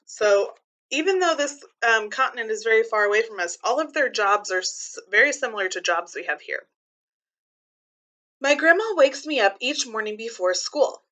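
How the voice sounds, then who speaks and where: Woman, higher-pitched, bright voice, teacher's read-aloud, simple clear explanations for young listeners. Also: lively intonation, normal speed. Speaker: teacher reading a children's book aloud.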